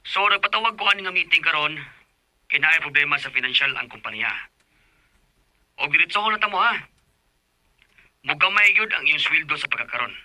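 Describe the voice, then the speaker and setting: A man's voice: on the high side, animated, sharp tone, dry recording, faint music behind, low-quality recording. An actor playing a breezy, demanding male company boss in a Bisaya radio drama, with a Cebuano accent. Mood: blunt. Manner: brisk, bossy, casually dismissive.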